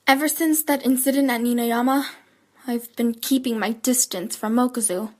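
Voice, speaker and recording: A woman's voice: a little high, steady tone, moderate pace, a little mellow, close to an everyday voice. A woman playing a gloomy, guarded schoolgirl in an audio drama, restrained and earnest as she narrates and speaks. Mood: brooding.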